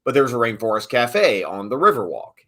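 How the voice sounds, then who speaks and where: A man's voice: mid-range voice, sing-song, fairly quick, a bit bright. A middle-aged man chatting casually as an interview guest, telling stories in an informal, emphatic way. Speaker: sports PA announcer, interview guest. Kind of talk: casual storytelling interview chat.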